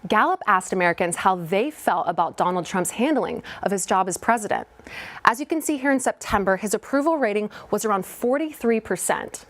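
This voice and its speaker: A woman reporter presenting a scripted news explainer in a clear order. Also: mid-range voice, lively intonation, brisk.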